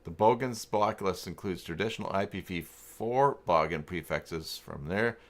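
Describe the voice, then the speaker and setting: Male livestream host, deep register, casual and rambling, talks through his thoughts as he goes. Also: measured pace. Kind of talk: casual livestream chat, thinking aloud.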